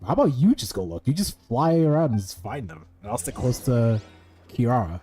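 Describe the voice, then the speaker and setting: A streamer with a husky voice doing casual, blunt, teasing gameplay commentary with plenty of swearing. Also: medium pitch, sing-song, moderate pace, warm tone, faint music behind.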